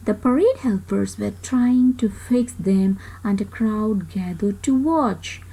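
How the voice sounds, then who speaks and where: Woman, storybook narrator, lively swooping intonation, unhurried pace, dramatic emphasis. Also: medium pitch, husky, rough recording. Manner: animated, dramatic pauses and emphasis. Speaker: children's storybook reader. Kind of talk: expressive read-aloud narration of a children's story.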